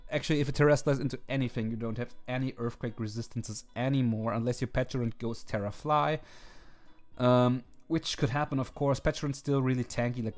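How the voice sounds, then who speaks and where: A man hosting a video, talking casually with many fillers and restarts, his voice slightly hoarse. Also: mid-range voice. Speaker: video host ranking teams. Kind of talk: casual commentary. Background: faint background music.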